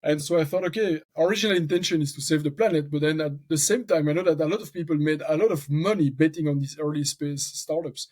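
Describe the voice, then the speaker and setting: A man's voice: a little high, quick pace, hardly any pauses, darkish tone. Talkative man with a Belgian French accent. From French-speaking Belgium. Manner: talkative, thinks aloud with restarts. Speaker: venture capital founder, guest. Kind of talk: casual podcast interview.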